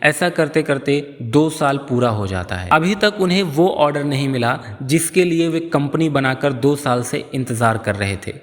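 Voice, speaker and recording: A man's voice: mid-range voice, expressive, moderate pace, few pauses. A man giving scripted explainer narration in an explanatory, storytelling manner. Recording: long reverb on voice.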